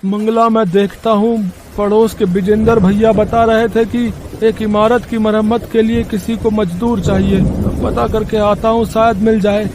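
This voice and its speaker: A man's voice: high-pitched, level tone, a little slow, noisy background. A man voicing a poor village labourer, a caring husband and father, earnest and fretful, in a moral-story cartoon. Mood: worried.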